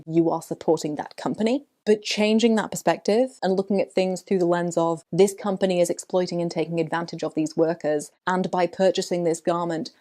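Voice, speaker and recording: A woman hosting a vlog, giving scripted commentary and reading aloud from a book in a measured, articulate way. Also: lowish voice, warm tone. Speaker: vlog host.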